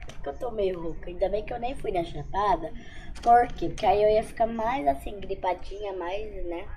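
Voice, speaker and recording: Slightly hoarse chatty woman vlogger. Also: medium pitch, mellow, a little hiss or hum, rough recording. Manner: chatty, rambling, addresses viewers directly. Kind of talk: casual vlog chat.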